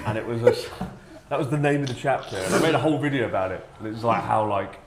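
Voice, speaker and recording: A man telling a casual comic anecdote to friends in an animated, sardonic way, acting out quotes. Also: medium pitch, fast. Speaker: storyteller, former English teacher. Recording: roomy echo with clear background noise.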